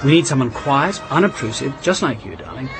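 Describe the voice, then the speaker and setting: An actor playing an urbane, persuasive English diplomat and husband in a radio drama. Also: male voice, medium pitch, sing-song, fairly quick, over music. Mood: coaxing, grave. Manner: urbane, old-fashioned, hesitant when coaxing. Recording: clear noise behind the voice.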